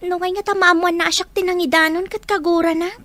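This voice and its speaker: Very high-pitched earnest Ilocano schoolgirl, acted by a woman. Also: steady tone, quick pace, fairly bright tone. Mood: earnest, caring. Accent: Ilocano Filipino. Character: concerned young female student, earnest and caring. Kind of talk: Ilocano audio drama dialogue.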